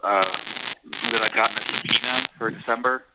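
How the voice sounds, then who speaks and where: A man talking casually and haltingly on a telephone, trailing off between phrases. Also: mid-range voice, steady tone. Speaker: jail inmate calling his father. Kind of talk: casual phone conversation. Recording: very noisy, crackly jail phone call.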